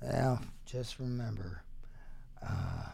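A man chatting casually as an interview guest, halting and full of wisecracks, with some faint noise behind him. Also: medium pitch, huge pitch swings, creaky, dark tone. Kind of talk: casual chat.

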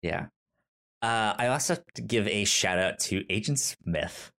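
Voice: low voice, lively intonation, measured pace, creaky, fairly bright tone. A podcast co-host talking casually and earnestly about films, often stammering and repeating syllables and words. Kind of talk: casual conversational film discussion.

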